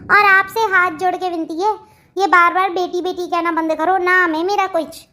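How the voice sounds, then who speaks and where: A performer plays a young newlywed daughter-in-law in a family cartoon. Her voice is extremely high, and she talks curtly, with irritable, sarcastic bite. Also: level tone, normal speed, pushed and bright, slight background noise. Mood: irritated. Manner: curt, snappish, sarcastic. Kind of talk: Hindi family comedy cartoon dialogue.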